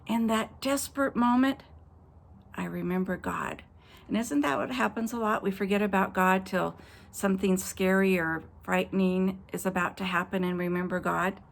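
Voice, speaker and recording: A woman's voice: mid-range voice, gravelly. A woman pastor reading an adventure story aloud to children, voicing the quoted characters and stopping to talk to her young listeners. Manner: expressive storyteller, voices quoted characters, pauses to address kids.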